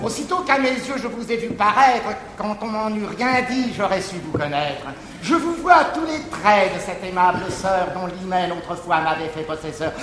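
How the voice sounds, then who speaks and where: A man playing a dignified older gentleman, delivering grave, measured stage verse in a reverberant 1959 theatre recording. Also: high-pitched, music in the background, natural voice. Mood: solemn.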